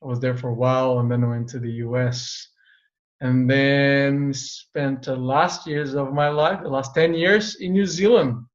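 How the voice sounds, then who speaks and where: A man's voice: medium pitch, fairly even intonation, unhurried. A young male pastor from Brazil, a native Portuguese speaker, chatting in an easygoing, modest way and laughing often. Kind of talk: casual interview chat. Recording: dull lo-fi sound.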